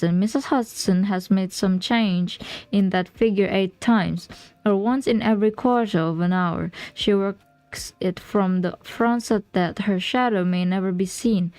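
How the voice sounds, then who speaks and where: A woman's voice: medium pitch, a little mellow, dry recording, close to an everyday voice. A woman voicing an impatient, superior male detective in a storybook reading. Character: brilliant, impatient male English detective, excited and proud.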